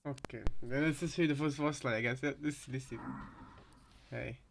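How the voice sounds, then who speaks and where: A man's voice: mid-range voice, steady tone, normal speed, creaky. A man co-commentating in casual reaction chat, with irreverent interjections. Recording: noisy home microphone recording.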